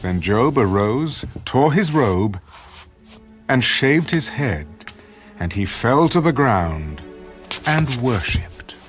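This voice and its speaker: Male narrator for dramatised scripture. Solemn storyteller, unhurried, varied mid-range pitch. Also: big pitch swings, faint music behind.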